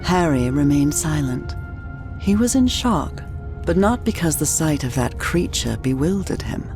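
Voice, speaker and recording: An actress playing a composed narrator, giving measured, literary story narration in a game. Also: female voice, sits low, lively intonation. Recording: music under the voice.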